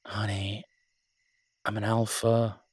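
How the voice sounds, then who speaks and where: Male actor as devoted alpha werewolf lover for romantic audio drama: low, even, intimate, teasing and protective. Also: breathy edge, no room sound, studio quality. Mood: playful, teasing. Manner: tender, teasing, unhurried pauses.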